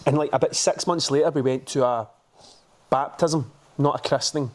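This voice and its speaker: A man's voice: mid-range voice, moderate pace. A Scottish man co-hosting a podcast, telling stories in casual, sweary chat and laughing often. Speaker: podcast co-host.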